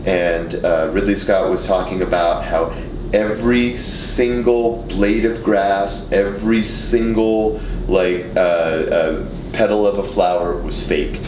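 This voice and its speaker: A man hosting a podcast in casual chat, halting and repeating words as he thinks. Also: steady tone. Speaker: podcast host. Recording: echoey, distant mic, noisy with rumble.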